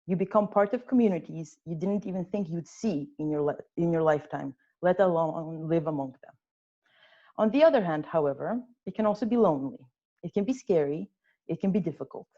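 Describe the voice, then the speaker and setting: A woman's voice: fairly low pitch, expressive, a little fast, mellow. A woman in her twenties giving a prepared personal speech at a club meeting, earnest with touches of dry humor. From born in the United States, grew up in Ukraine, Austria and Russia. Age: 20s. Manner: earnest, lightly humorous storytelling. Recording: dull lo-fi sound.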